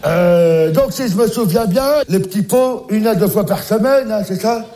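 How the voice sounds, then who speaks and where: A man playing a scatterbrained, high-voiced grandpa. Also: normal speed, mellow, natural voice. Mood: bumbling. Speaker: comic sketch character. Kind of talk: comic one-sided phone call sketch.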